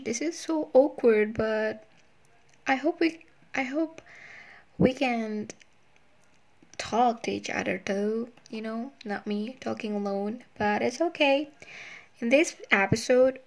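A woman's voice: fairly high pitch, takes it slowly, vocal fry. A young woman with an Indonesian accent, hosting a casual solo advice podcast in a chatty, self-conscious way. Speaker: podcast host.

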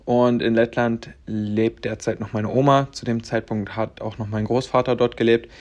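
A man's voice: medium pitch, flat delivery, moderate pace, a little mellow. A man in his twenties hosting a personal podcast, talking earnestly and rambling with many fillers. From Germany, raised in Latvia. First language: German and Russian, bilingual. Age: 20s. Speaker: podcast host.

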